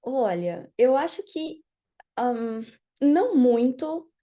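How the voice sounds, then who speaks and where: A young woman from Brazil, a podcast guest, chatting casually and telling her story in an animated way, laughing often. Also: sits high, takes it slowly, highs cut off. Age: young adult. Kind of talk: casual interview chat. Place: podcast studio.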